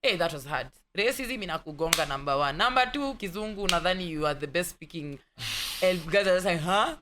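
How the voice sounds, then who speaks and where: Bright-voiced Kenyan woman telling stories. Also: lowish voice, fairly quick, a little hiss or hum. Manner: animated, mixes in Swahili words, acts out voices in her stories. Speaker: actress guest. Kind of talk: casual storytelling interview.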